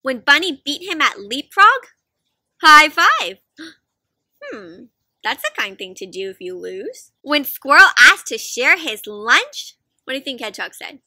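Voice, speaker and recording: Casting: young woman, children's read-aloud host, high-pitched and animated, inviting little listeners in with questions. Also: unhurried, no room sound. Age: young adult. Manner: expressive, asks frequent questions, gasps. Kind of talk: interactive picture-book read-aloud for children. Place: outdoors in a fenced backyard.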